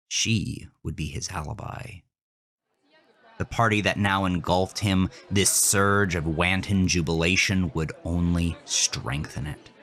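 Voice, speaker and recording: A man with a low voice narrates scripted horror fiction. He takes it slowly and deliberately, with dramatic intensity, as the show's host. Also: a bit bright.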